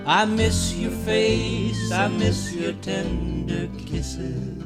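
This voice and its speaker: A man performing as a gentle, lonesome singing cowboy, crooning a western campfire ballad. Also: sits high, steady tone, extremely slow. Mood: wistful. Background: acoustic guitar.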